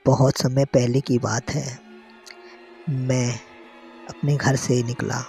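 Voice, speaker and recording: A man narrating a scary story in the first person, in short phrases with pauses. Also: mid-range voice, little pitch movement, creaky, warm tone. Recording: prominent music behind the voice.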